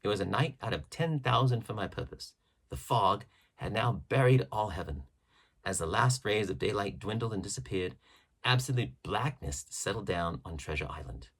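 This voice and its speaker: A man reading a classic adventure novel aloud as expressive, measured audiobook narration with emphatic phrasing. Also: on the low side, husky. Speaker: audiobook reader.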